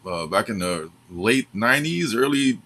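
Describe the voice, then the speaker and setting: A man in his forties with a bright, animated voice, co-hosting a loose chat. He is chatty and quick to laugh, with many fillers along the way. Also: lowish voice. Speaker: co-host. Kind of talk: casual chat.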